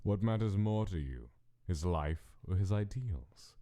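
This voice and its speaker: A man playing a cold, controlling mad scientist in a dramatic comic dub, speaking in a deliberate, stern, interrogating way. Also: low-pitched, animated, dark tone. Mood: cold, pressing. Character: cold, menacing male mad scientist, controlling and coolly probing.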